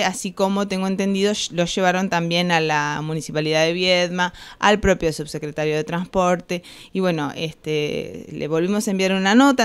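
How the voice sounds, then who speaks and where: A middle-aged woman with an Argentine Spanish accent, a councillor explaining things formally in a news interview, measured and hesitant with frequent pauses. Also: lowish voice, clear, resonant tone. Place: small office, indoors. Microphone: handheld reporter's microphone held close.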